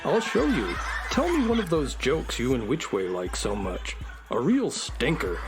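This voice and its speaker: A woman doing a low, fussy animal sidekick. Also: big pitch swings, measured pace, background noise, heavily acted. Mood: amazed. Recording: music bed under voice.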